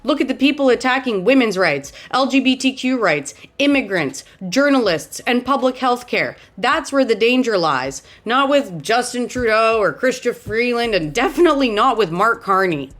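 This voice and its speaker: A woman's voice: a little high, expressive, normal speed, few pauses, a bit bright. A woman from Romania giving blunt, exasperated political commentary to camera, often tagging her points with "okay?".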